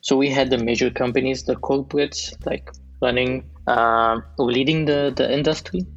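A man in his thirties from Egypt, a software developer speaking as a podcast guest in a measured, thoughtful way, often pausing to rephrase. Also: medium pitch, fairly even intonation, lo-fi sound. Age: 30s. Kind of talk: casual interview.

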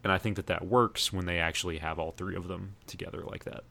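A man co-hosting a podcast, talking casually about TV in a measured, thoughtful, conversational way. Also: deep voice, fairly even intonation, creaky, sharp tone. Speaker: podcast co-host. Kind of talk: casual chat about TV shows.

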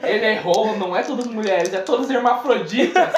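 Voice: medium pitch, brisk, noisy background. A game master with a Brazilian Portuguese accent, narrating a tabletop game in a casual, slangy, laughing way. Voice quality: lively, laughing often, slangy. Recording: echoey room.

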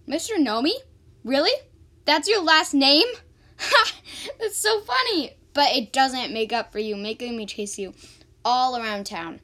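A woman's voice: sits high, expressive, unhurried, bright, forceful tone, exaggerated voice. A woman playing an impulsive, hot-tempered female ex-convict in an amateur radio drama, emotional and exclamatory. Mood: mocking, annoyed.

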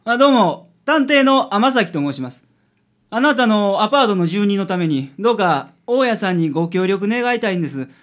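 A man's voice: sits high, sing-song, moderate pace, a bit bright. A man playing a confident, shrewd private detective in a radio drama, theatrical, with a Kansai accent. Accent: Kansai Japanese.

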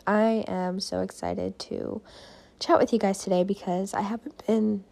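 Young female podcast host, 20s, hoarse and gravelly, chatty and direct with listeners. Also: medium pitch, fairly even intonation, a little slow, warm tone. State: losing her voice. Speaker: podcast host and life coach. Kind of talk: casual solo podcast chat.

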